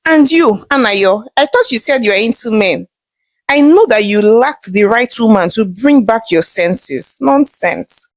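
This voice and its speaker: A woman voicing an excitable, sly, sarcastic Nigerian mother-in-law in a folktale, with emphatic scornful exclamations. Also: on the high side, measured pace, no echo. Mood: gleeful, scornful.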